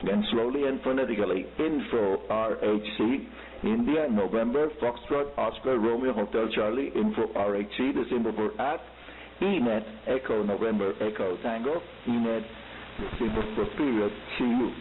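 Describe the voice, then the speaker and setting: A man with a Cuban accent and Spanish as his first language, hosting a scripted radio show and addressing listeners directly and deliberately. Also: medium pitch. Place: radio broadcast picked up on shortwave. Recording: hissy, humming narrow-band shortwave radio reception.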